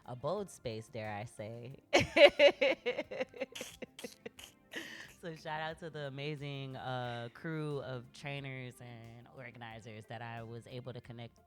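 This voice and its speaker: A woman with a very deep voice talks in a casual, reflective way. She pauses and hesitates as she thinks aloud. Faint music plays underneath her. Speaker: podcast co-host. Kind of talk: casual chat, personal reflection.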